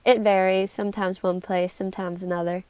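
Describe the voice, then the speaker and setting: A woman's voice: mid-range voice, level tone, fairly quick, a little mellow, no echo, close to an everyday voice. A woman in an amateur play acting a chatty, matter-of-fact small-town villager. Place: filmed on a video call.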